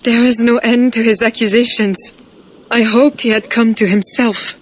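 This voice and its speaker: An actress playing a pious, gentle noblewoman in a video game cutscene, speaking softly and earnestly. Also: a little high, fairly even intonation, moderate pace, natural voice, poor audio. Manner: soft, earnest and devout. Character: pious, gentle noblewoman estranged from her husband. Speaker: queen.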